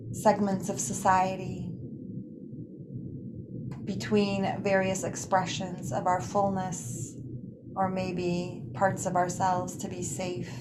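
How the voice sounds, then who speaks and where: A woman leading a guided relaxation practice in an unhurried, soothing manner, pausing often between phrases. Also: medium pitch, background noise. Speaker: guided meditation teacher. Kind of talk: guided yoga nidra relaxation.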